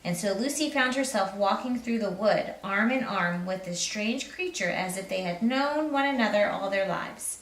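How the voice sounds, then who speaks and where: A woman's voice: medium pitch, animated, normal speed, few pauses, a bit bright. A woman reading a children's story aloud as narrator. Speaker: storybook reader. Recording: roomy echo, distant mic.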